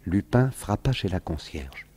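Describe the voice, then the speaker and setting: An actor playing a narrator who recounts his own adventure, in scripted literary radio-drama narration with a dark, husky voice. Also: deep voice, very animated, a little slow, background noise. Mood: measured, storytelling.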